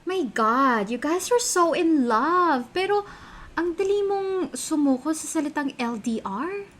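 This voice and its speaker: A woman's voice: high voice, expressive, slow pace, fairly bright tone, slight background noise, heavily acted. A woman playing an opinionated, gossipy young friend with a Filipino accent, speaking emphatically in a radio drama. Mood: teasing. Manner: emphatic, conversational.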